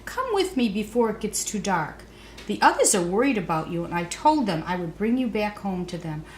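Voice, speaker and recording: A woman's voice: mid-range voice, very animated, moderate pace, close to an everyday voice. A woman voicing a caring, eager little girl in a children's storybook, earnest and emphatic. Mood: reassuring. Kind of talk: children's storybook read-aloud. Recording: roomy echo, faint background noise.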